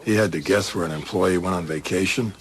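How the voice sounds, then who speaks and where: Deep, deadpan police detective. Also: male voice, brisk. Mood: wry narration. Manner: clipped, deadpan. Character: dry, skeptical veteran male police detective. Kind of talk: hard-boiled radio crime drama acting and first-person narration.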